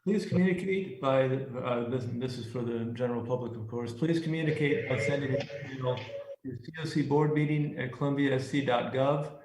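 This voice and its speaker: A man's voice: mid-range voice, moderate pace. An American man chairing a public meeting, speaking procedurally and off the cuff. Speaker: commission chair presiding over a public meeting. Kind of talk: formal meeting procedure, unscripted. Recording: roomy echo, noisy meeting audio.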